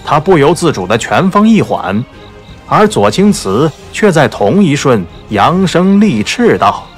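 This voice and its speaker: A man's voice: a little high, very animated, measured pace, soft background music. A man narrating a martial arts novel as a dramatic audiobook.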